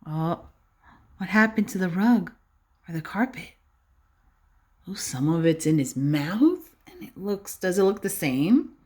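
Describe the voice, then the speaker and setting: Female preschool teacher for storytime read-aloud: unhurried, highly expressive, questioning and instructive. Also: husky. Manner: expressive, slow and instructive, often checking with rhetorical questions. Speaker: early-years classroom teacher reading a picture book aloud. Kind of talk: animated read-aloud for young children, with questions and asides.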